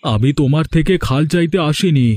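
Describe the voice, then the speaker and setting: A man's voice: a little high, a little fast, dark tone, close to an everyday voice. A man voicing a puzzled village fish farmer in a cartoon, earnest and exclaiming. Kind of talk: dubbed cartoon dialogue. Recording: music in the background.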